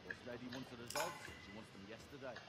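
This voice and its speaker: A man's voice: medium pitch, fast talker, lightly acted. A man playing a matter-of-fact, hardened mercenary in an action video game. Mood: businesslike. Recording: distant, buried under game noise.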